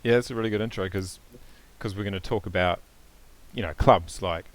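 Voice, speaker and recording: Low-voiced, easygoing male host. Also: a little fast, rough recording. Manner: easygoing, chatty, with lots of fillers. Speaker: podcast host. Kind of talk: casual podcast interview.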